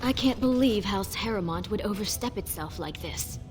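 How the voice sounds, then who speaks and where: Actress as a mid-pitched formal noblewoman. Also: expressive, normal speed, a little mellow, faint music behind, natural voice. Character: formal, opinionated noblewoman in a fantasy game. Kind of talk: video game dialogue.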